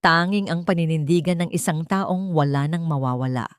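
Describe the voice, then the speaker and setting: A woman's voice: low voice, moderate pace, smooth, dry recording, studio quality. A woman reading a dramatic scripted audio story as its narrator.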